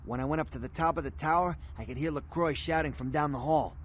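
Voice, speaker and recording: An actor playing a chatty, jittery underworld dealer in a video game, with rapid informal chatter. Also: male voice, fairly high pitch, slight background noise, natural voice. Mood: jittery gossiping. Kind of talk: video game dialogue acting.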